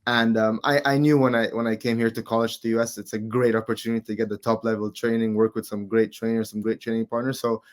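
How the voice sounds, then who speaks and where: A man in his twenties from Qatar, a guest in a casual interview, talking in a relaxed, rambling way with lots of fillers. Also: fairly low pitch, fast, hardly any pauses. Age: 20s. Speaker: guest, amateur MMA fighter.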